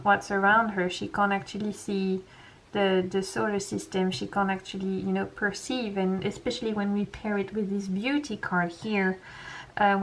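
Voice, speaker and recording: A young woman with a French accent, a French native speaker giving a gentle, reassuring pick-a-pile tarot reading full of casual fillers. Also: medium pitch, slight background noise, rough recording. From France. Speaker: tarot reader.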